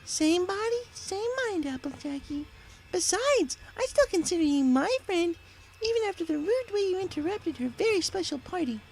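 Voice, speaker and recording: A man's voice: very high-pitched, lively intonation, normal speed, vocal fry, voice pushed up, full character voice, low-quality recording. A man voicing a female killer pony who is menacing and frighteningly cheery, taunting in a mock-sweet, sing-song way, in a read-aloud story.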